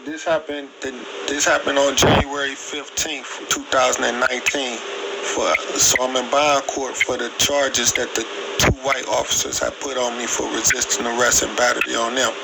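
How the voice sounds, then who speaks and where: Noisy phone recording, male caller, low-pitched monotone, repetitive emphatic complaint. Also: normal speed, gravelly. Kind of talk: phone complaint, recounting incidents. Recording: noisy, radio-like phone call. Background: loud background noise.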